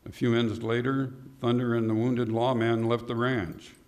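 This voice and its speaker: A man's voice: mid-range voice, level tone, normal speed, a little mellow, faint music behind. A man narrating a scripted Western adventure in the style of an old-time radio drama. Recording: clearly noisy background.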